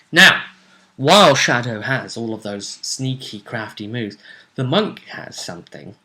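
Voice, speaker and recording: A man hosting a tabletop roleplay vidcast, recapping fights in a casual but dramatic storytelling way with emphatic pauses. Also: mid-range voice, sharp tone.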